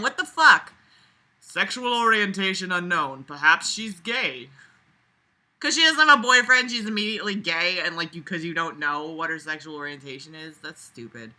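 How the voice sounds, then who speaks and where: A woman hosts a read-aloud with a very bright, forceful voice. She moves between the text and her own casual commentary, which is sarcastic, exasperated and freely foul-mouthed. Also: lowish voice, very animated, normal speed. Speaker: host reading a novel aloud with commentary.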